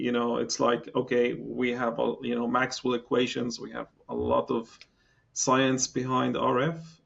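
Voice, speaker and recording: A man's voice: mid-range voice, creaky, highs cut off. A middle-aged man from Palestine, a guest in a podcast interview, talking with many fillers and restarts. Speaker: engineering guest.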